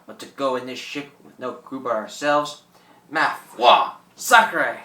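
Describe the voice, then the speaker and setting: Gloating old pirate, roomy sound. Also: male voice, mid-range voice, very animated, slow, sharp tone, full character voice. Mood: indignant. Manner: theatrical, gloating, cackling. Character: boastful old French pirate, greedy and menacing, newly revived. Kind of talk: radio play acting. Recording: roomy, distant microphone.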